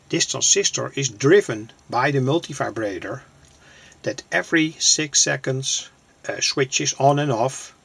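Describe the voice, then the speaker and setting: A man explaining a hands-on demonstration plainly, in halting short phrases, as an electronics hobbyist presenter. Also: a little high, creaky, a bit bright, husky. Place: small cluttered indoor electronics workbench.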